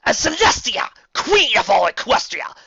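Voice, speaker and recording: A man shouting as a booming fiery alicorn queen. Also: big pitch swings, normal speed, creaky, muffled recording, full character voice. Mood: furious. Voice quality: booming, archaic proclamations. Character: towering fiery alicorn queen, mad, imperious mare.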